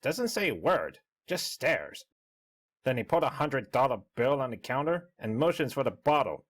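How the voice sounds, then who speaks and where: A man's voice: mid-range voice, sing-song, normal speed. A man playing a bemused, gossipy bartender recounting events in a dramatic story reading. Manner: conversational, gossipy. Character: chatty, bemused bartender recounting an odd customer.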